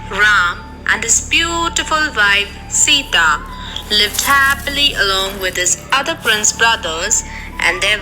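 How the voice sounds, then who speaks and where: High-voiced woman narrating a children's story over music. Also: poor audio.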